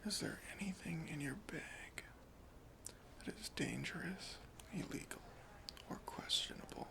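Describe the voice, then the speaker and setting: An ASMR performer playing a stern, suspicious airport chief security officer in a breathy, creaky whisper, with slow, deliberate, officious questioning. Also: mid-range voice. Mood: suspicious, probing.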